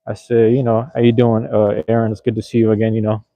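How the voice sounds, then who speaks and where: A man's voice: on the low side, little pitch movement, fast, dark tone, no echo. A man livestreaming outdoors in light rain, chatting casually with viewers on his phone in a slangy, rambling way. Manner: laid-back, slangy, repeats himself. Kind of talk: casual rambling live chat. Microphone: phone camera.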